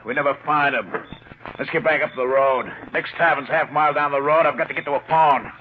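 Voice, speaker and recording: An actor playing a tough, domineering gangster boss who talks curtly in gangster slang in an old-time radio crime drama. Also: male voice, on the high side, steady tone, a little fast, noisy background, close to an everyday voice. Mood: urgent, grim. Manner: curt, barking orders in gangster slang. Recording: dull, band-limited old radio recording.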